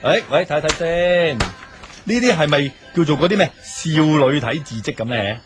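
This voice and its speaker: A man's voice: fairly high pitch, lively intonation, moderate pace, music in the background, lightly acted. A man playing a nosy, baffled, blunt uncle with a Hong Kong Cantonese accent in an audio drama, peppering his talk with exclamations and questions. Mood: curious, puzzled.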